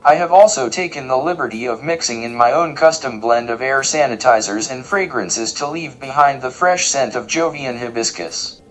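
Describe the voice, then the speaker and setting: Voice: mid-range voice, moderate pace, few pauses, soft background music, close to an everyday voice, rough recording. An actor playing a polite, easily offended robot cook who speaks in formal, technical terms in an audio drama. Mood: precise, matter-of-fact. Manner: formal, precise, technical wording. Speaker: diner cook and cleaning unit.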